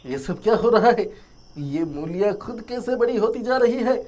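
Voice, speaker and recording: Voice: mid-range voice, animated, normal speed, warm tone, lightly acted. An actor playing a resentful, scheming young farmer, a younger brother, with a sulky, complaining manner. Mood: bewildered, alarmed.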